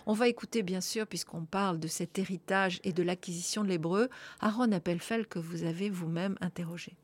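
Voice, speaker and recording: A woman's voice: lowish voice, fairly quick. A woman hosting a radio discussion, explaining ideas thoughtfully with occasional hesitations. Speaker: radio host.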